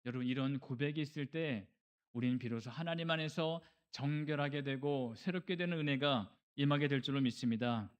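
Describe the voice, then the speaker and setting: A man's voice: mid-range voice, brisk, smooth, dull audio. A man preaching a sermon as a pastor, earnest and exhorting, acting out short bits of dialogue. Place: church service.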